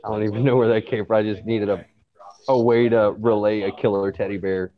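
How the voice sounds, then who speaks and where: A man's voice: on the low side, fairly even intonation, brisk. A man from Louisiana chatting casually as a writer guest, hesitant and self-effacing, on dull lo-fi audio. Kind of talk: casual chat.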